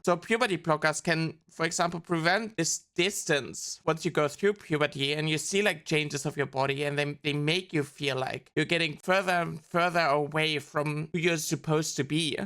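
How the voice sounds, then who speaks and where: A woman's voice: sits low, fairly bright tone. A young adult woman streaming as a VTuber, explaining a subject in an earnest, casual way, with lots of "like" fillers.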